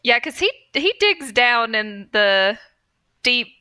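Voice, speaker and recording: A young woman with a bright, forward voice pitched a little high chats casually as a podcast co-host. Her voice sounds dry and close, free of room sound. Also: big pitch swings, slow pace, dry recording. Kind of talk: casual chat.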